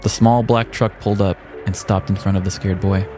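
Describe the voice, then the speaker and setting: A man's voice: sits low, fairly even intonation, a little fast, mellow, soft background music. A man podcast host reading a scripted comedic story in a mock-dramatic way.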